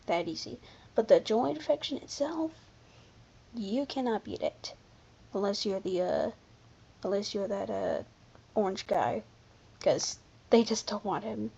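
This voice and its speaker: A commentator giving casual, unscripted video commentary in an informal, halting way, often backtracking. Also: medium pitch, expressive, gravelly, mellow, slightly rough, muffled recording. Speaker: video creator presenting a ranking.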